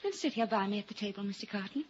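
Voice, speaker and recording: An actress playing a gentle, compassionate young gentlewoman in an old-time radio drama, earnest and melodramatic in delivery. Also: mid-range voice, expressive, rapid-fire, natural voice. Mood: gently polite. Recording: dull, noisy vintage radio recording.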